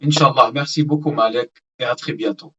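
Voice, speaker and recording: Male host in his twenties, mid-pitched, gracious and casual, lo-fi audio. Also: brisk. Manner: warm, grateful, informal. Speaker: interviewer, host. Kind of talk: casual interview.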